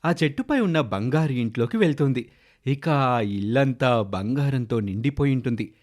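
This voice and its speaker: A man's voice: mid-range voice, lively intonation, normal speed, darkish tone. A man narrating a scripted children's moral story.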